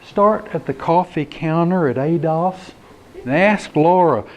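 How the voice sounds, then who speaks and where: A man voicing a calm, understated woman homeowner who turns firm when pushed. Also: fairly high pitch, measured pace, natural voice. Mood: dry.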